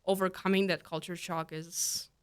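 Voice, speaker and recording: A woman's voice: lowish voice, level tone, normal speed, smooth. Russian woman, earnest, searching for words. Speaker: guest counselor. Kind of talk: casual interview talk.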